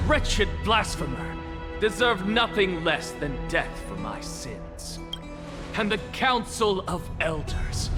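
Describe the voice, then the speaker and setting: A man's voice: sits high, exaggerated voice. An actor playing an arrogant male scholar in a game cutscene, declaiming grandly and defiantly. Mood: mocking. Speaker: game character. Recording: game cutscene with loud music and long reverb.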